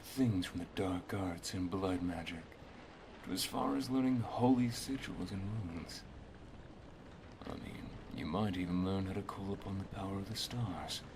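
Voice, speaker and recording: A man playing a protective, affectionate wizard master in an ASMR roleplay, speaking in a hushed, gently instructive way that often slips toward a whisper. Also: low voice, unhurried, background noise. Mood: solemn, protective. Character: protective, affectionate male wizard master teaching his apprentice and lover. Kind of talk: ASMR roleplay, intimate second-person monologue.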